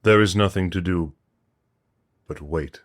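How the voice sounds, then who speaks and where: An actor playing a patient, ominous nobleman in an audio drama, solemn, measured and terse. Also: low-pitched, background noise. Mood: brooding calm. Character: brooding, controlled count, patient and ominous.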